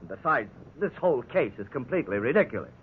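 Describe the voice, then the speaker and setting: An actor playing a principled defense lawyer, speaking firmly and formally in a 1950s radio drama. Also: male voice, mid-range voice, sing-song, fast, lightly acted. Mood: righteous. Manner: firm, formal, righteous. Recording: tinny, noisy 1950s radio recording.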